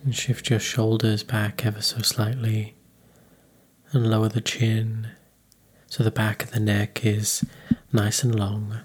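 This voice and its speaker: A man leading a guided meditation in a calm, unhurried, soothing manner. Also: on the low side, husky.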